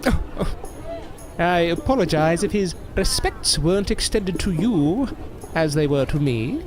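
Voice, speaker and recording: An actor as a proud, courteous circus newcomer, animated. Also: medium pitch, measured pace, music in the background, natural voice. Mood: earnest, formal. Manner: hesitant, courteous, earnest, formal. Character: proud, polite circus newcomer, a fallen star performer. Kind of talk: audio drama acting.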